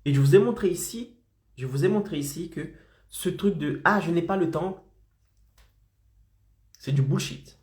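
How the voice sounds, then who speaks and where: A man's voice: on the high side, measured pace, pauses often, a little mellow, reverberant. A man with a slight head cold, coaching freelancers in a motivational live stream and keeping his audience engaged with frequent check-ins. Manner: insistent, keeps checking in with "d'accord?" and "ok?".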